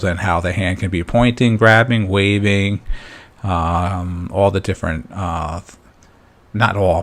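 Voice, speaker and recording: A man with a fairly low voice and plenty of vocal fry leads a drawing lesson. He talks casually, step by step, thinking aloud as he works. His manner is encouraging and informal. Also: a bit bright. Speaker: drawing instructor and host.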